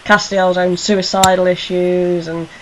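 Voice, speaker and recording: Lowish voiced woman hosting a casual fan radio chat. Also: little pitch movement, unhurried, slight background noise, rough recording. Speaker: host of a fan radio show. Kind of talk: casual panel discussion.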